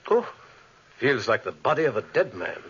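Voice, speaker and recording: Older moralizing professor: emphatic, highly animated, lo-fi vintage radio drama sound. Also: male voice, moderate pace, husky, exaggerated voice. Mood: curious. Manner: theatrical, emphatic. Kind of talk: 1940s radio horror acting. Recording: dull lo-fi 1940s radio recording.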